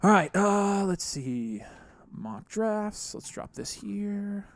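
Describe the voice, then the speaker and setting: A young man hosting a casual fantasy football live stream from a small studio, talking into a boom mic and reading viewer chat. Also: lively intonation, vocal fry. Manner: quick, chatty, reads chat aloud. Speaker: fantasy football podcast host. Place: small studio with neon lights. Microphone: boom mic on arm.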